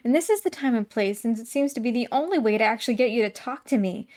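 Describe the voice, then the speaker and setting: A woman voicing an angry, bossy high school girl who snaps and scolds her friend in a visual novel. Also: expressive, quick pace, mellow, close to an everyday voice. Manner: snapping, scolding.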